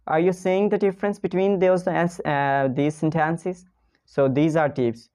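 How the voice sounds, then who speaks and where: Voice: medium pitch, steady tone, unhurried, darkish tone, smooth. A teacher with a Pakistani accent giving a casual pronunciation lesson in non-native English, repeating example sentences and checking in with "okay?".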